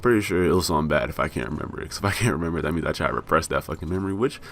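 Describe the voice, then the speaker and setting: Deep, fast-talking young American man. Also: vocal fry, slight background noise. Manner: rambling, profane, self-mocking with laughs. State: raspy from being high. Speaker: vlogger. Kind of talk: casual storytelling.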